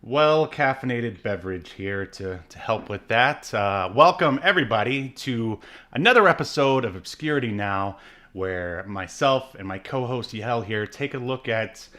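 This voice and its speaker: A man's voice: mid-range voice, expressive, a bit bright. Joking, easygoing male podcast host. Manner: joking, with frequent filler pauses. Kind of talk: casual chat.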